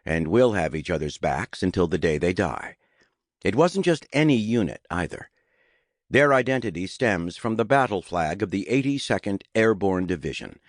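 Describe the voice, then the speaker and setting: Low-voiced man narrating an audiobook. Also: dry recording, studio quality. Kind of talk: scripted nonfiction narration. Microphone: close studio microphone.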